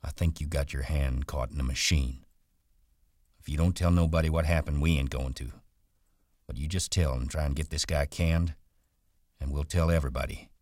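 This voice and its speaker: A man voicing a blunt, authoritative ranch hand with rough rural speech in a story reading. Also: a bass voice, fairly even intonation, moderate pace, long pauses, a little mellow, no room sound, clean studio sound. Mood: firm.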